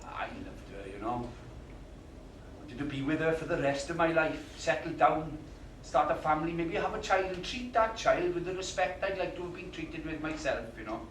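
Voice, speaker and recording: An actor playing a volatile, violent jilted man. He swears heavily and swings from hushed confiding to roaring rage. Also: on the high side, moderate pace, noisy background, natural voice. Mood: tender. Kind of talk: theatrical monologue. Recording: echoey room, distant mic, music behind.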